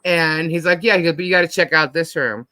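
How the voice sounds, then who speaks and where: A middle-aged American woman with a husky, deep-set voice that still cuts through brightly. She chats casually and bluntly, acting out bits of dialogue as she rambles through anecdotes. The sound is close and dry. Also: fast talker, no echo. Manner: blunt, slangy, animatedly quotes herself and others. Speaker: host of a live panel stream.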